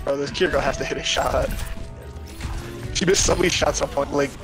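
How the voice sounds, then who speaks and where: A man's voice: a little high, a little fast, music in the background. A man in an online game voice chat, brash and swearing, complaining about teammates. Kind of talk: casual gaming banter.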